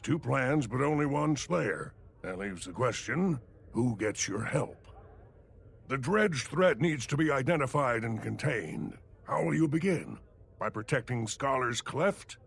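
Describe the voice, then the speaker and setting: Earnest, gravelly Norn clan leader. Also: male voice, medium pitch, lively intonation, unhurried, heavily acted. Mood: grave, urgent. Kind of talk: fantasy game dialogue. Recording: game audio with music behind.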